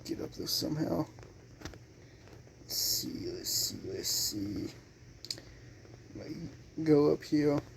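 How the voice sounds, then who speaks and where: A young man doing casual gameplay commentary as a channel host, halting and sometimes muttering. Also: fairly high pitch, expressive, vocal fry, a little hiss or hum, poor audio.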